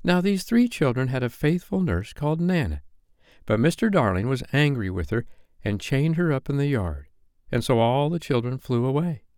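A man's voice: mid-range voice, animated, moderate pace, a little mellow, no room sound, studio quality, voice pushed up, natural voice. A man voicing a young girl who tells a bedtime story in a patient, motherly, slightly chiding way. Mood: triumphant, complacent.

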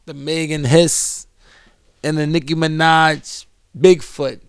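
Gravelly laid-back man, dry close sound. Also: on the high side, flat delivery, takes it slowly, no room sound. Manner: laid-back, swears freely, drawling. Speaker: podcast co-host. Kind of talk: casual podcast banter.

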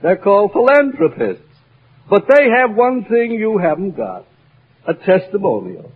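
Pompous high-voiced old humbug wizard. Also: male voice, sing-song, measured pace, husky, heavily acted. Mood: mock-solemn. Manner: pompous, long-winded, mock-formal oratory. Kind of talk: 1950s-or-earlier radio drama acting. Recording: old muffled radio recording with music behind.

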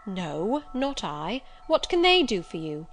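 A woman's voice: medium pitch, sing-song, takes it slowly, faint music behind. A woman voicing a stern, suspicious elderly female servant in an audiobook, blunt, curt and scolding. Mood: stern, distrustful. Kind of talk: audiobook character voice.

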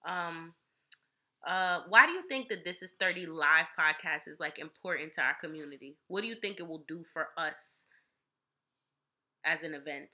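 A woman's voice: low-pitched, normal speed, bright, forceful tone. A woman hosting a podcast in casual chat, a bit congested, rambling and giggly with lots of fillers. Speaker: podcast host.

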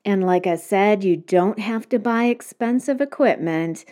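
A woman's voice: mid-range voice, measured pace, mellow. A woman hosting her own podcast, explaining things step by step in a casual solo talk. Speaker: podcast host. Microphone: home microphone.

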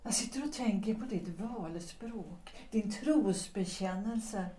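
An actress playing a melancholy, composed married woman who speaks in measured, reflective tones, recorded in an echoey room. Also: medium pitch, lively intonation, mellow, a little hiss or hum, lightly acted.

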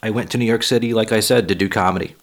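A man with a low voice, a little hoarse and talking casually into his own podcast. He rambles, swears freely and pokes fun at himself. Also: very fast. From Boston area. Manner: profane, rambling, self-deprecating. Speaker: podcast host and stand-up comic. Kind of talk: casual solo podcast monologue.

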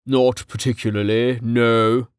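A man's voice: medium pitch, flat delivery, measured pace, smooth, no echo, clean studio sound, close to an everyday voice. A man voicing a wary, troubled family man in a fantasy audiobook, speaking in a hesitant, brooding manner.

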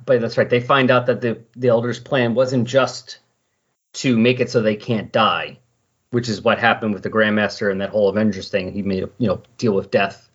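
A man's voice: lowish voice, steady tone, normal speed, slightly rough. A man hosting a casual comic-book discussion, explaining things in a conversational way. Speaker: podcast host. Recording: dull, lo-fi voice recording.

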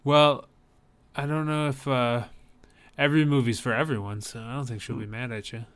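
Clear-voiced, easygoing man in casual chat. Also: mid-range voice, fairly even intonation, moderate pace. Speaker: podcast co-host. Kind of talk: casual chat about films.

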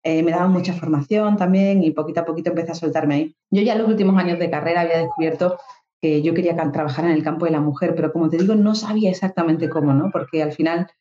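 Andalusian woman in her thirties, mellow and echoey. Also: low voice, fast, dull audio. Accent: Andalusian Spanish. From Spain. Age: 30s. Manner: reflective, frequent tag questions. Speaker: guest psychologist and coach. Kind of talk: casual interview talk.

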